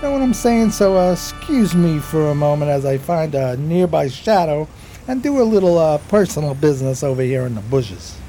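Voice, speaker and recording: An actor playing a dim, streetwise, loyal homeless sidekick in an American radio play, with hesitant, slangy street talk. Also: male voice, on the high side, lively intonation, normal speed, mellow, lightly acted. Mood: placating. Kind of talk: radio play dialogue and narration. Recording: music behind the voice.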